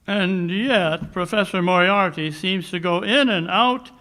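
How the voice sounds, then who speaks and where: An actor playing a coolly confident, analytical English detective with a measured, dry manner, in 1940s radio drama style. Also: male voice, high-pitched, pushed and bright. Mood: musing. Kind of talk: 1940s radio drama acting, performed live.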